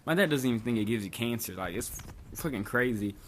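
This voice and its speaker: A man's voice: mid-range voice, lively intonation, brisk, fairly bright tone, a little hiss or hum. A young man from Bosnia casually telling a rambling, profane story, full of "like" and swearing. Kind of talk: casual storytime over gameplay.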